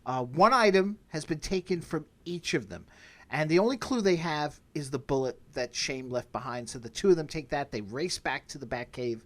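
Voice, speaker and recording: A man's voice: fairly high pitch, lively intonation, moderate pace, a bit bright. A man hosting a casual review chat, recapping in an easygoing, conversational way. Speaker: podcast host.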